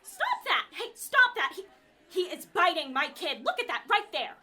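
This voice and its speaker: Woman playing a high, snippy suburban mother. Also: big pitch swings, normal speed, sharp tone, exaggerated voice. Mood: indignant. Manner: snippy, scolding. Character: Antoinette, scolding, indignant suburban mother.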